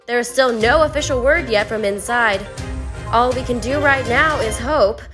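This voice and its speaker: A woman's voice: a little high, normal speed, loud music behind the voice, natural voice. A woman playing an earnest news reporter delivering a report in a radio play. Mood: grave.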